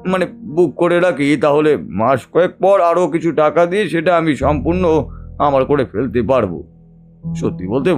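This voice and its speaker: A man acting a distressed, cheated family man in an audio drama, telling his troubles haltingly and seeking agreement. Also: on the high side, a little mellow, lightly acted. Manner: confiding, halting, seeks agreement with tag questions. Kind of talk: Bengali audio detective drama monologue.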